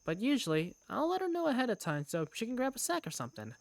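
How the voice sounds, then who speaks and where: A woman's voice: a little high, huge pitch swings, quick pace, no echo. A woman playing an affectionate young working big sister, narrating her own story in a visual novel fan dub. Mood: weary, reflective. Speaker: narrator and protagonist. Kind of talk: first-person visual novel narration and dialogue.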